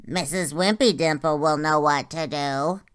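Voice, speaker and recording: A woman's voice: sits low, unhurried, clean tone. A woman voicing a kind, puzzled young male cat in a storybook, earnest and emphatic. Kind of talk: storybook character voice.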